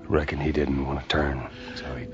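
An actor playing a tough, weary middle-aged survivor with a Southern American accent in a video game. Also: male voice, a bass voice, level tone, moderate pace, over music. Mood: low, terse. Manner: gruff, terse. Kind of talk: video game cutscene acting. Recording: muffled, noisy game audio.

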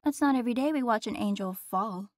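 A woman's voice: on the high side, quick pace, no echo, close to an everyday voice. A woman playing a sardonic, cocky female demon with a dry, teasing manner in an audio roleplay. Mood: needling.

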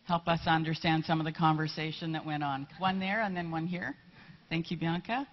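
Brisk woman moderating a conference panel. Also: low-pitched, steady tone. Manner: brisk, polite, keeps things moving. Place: conference hall stage. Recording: slightly muffled hall audio.

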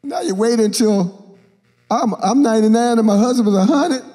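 A man's voice: extremely high pitch, normal speed. An older man, a bishop, preaching a sermon on a church stage into a handheld mic, acting out Bible characters and joking with the congregation. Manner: animated, acts out Bible characters, jokes with the congregation. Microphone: handheld microphone. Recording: long reverb of a large church hall.

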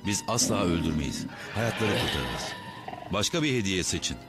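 Heroic cartoon lead: very low, earnest and firm, with lively intonation over music. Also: male voice, normal speed. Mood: resolute. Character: noble, principled muscular young male hero. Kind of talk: dubbed cartoon acting. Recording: music behind.

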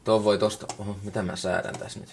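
A Finnish man with a low voice walks through music software in a casual, off-the-cuff way. He talks colloquially and mutters little asides to himself as he works. He is picked up by a camera mic indoors at a computer. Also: level tone, vocal fry, a little hiss or hum. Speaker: music production tutorial maker. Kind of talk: casual unscripted software walkthrough. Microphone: camera microphone.

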